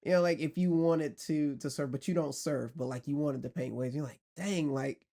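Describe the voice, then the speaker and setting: A young man hosting a podcast, asking questions in a relaxed, friendly, slangy way. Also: a little high, normal speed, creaky. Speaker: podcast host. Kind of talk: casual interview chat.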